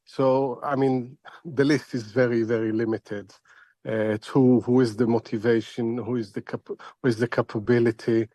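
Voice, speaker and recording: Halting, deliberate male expert analyst, dry sound. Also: mid-range voice, level tone, mellow, dry recording. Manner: halting, deliberate, repeating words as he thinks. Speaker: expert analyst, interview guest. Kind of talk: spoken analysis in a news interview.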